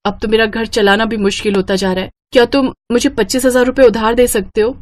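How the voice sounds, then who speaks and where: A woman's voice: a little high, steady tone, fairly quick, dry recording, studio quality, close to an everyday voice. A woman voicing a worried, plaintive married sister in a moral story. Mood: pleading. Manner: anxious, plaintive. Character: worried, pleading married sister short of money. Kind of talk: Hindi moral story voice acting.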